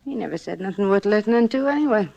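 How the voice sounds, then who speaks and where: An actress playing a blunt, surly middle-aged hotel manageress in a 1950s radio drama, speaking curtly in folksy, ungrammatical phrases. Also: female voice, mid-range voice, quick pace, gravelly, highs cut off. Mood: deadpan, bitter. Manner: curt, deadpan, ungrammatical folksy speech. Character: surly, blunt hotel manageress, unhappily married, self-reliant.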